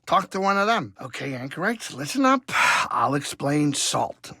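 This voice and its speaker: Video game alien cook-pilot, older, grumpy and rambling; highish voice with very animated pitch, colloquial and fretful. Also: male voice, moderate pace, husky, no echo, studio quality, heavily acted. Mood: fussy, busy. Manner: colloquial, fussy, blustering, rambling. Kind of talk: video game character dialogue.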